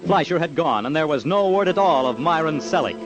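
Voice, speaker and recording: A man's voice: on the high side, lively intonation, fairly quick, lo-fi sound. A man narrating an old-time radio crime series in a terse, emphatic, dramatic style. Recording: old radio broadcast with loud music behind. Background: dramatic musical underscore.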